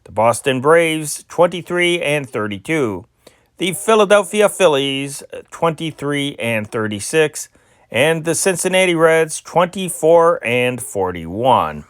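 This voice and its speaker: A man hosting an amateur reading of vintage newspaper news and listings, with a halting delivery that stumbles over names. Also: on the high side, sing-song, smooth, no echo, studio quality.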